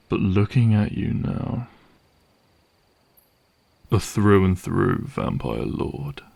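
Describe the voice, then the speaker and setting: A man playing a cynical, menacing vampire hunter in an audio roleplay, speaking deliberately and sardonically with a gravelly voice. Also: on the low side, darkish tone, exaggerated voice. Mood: mocking, contemptuous. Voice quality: gravelly, creaky.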